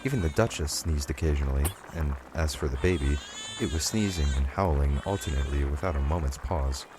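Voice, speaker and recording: A man's voice: rumbling low, fairly quick, noisy background. A man reading scripted audiobook narration of a children's story.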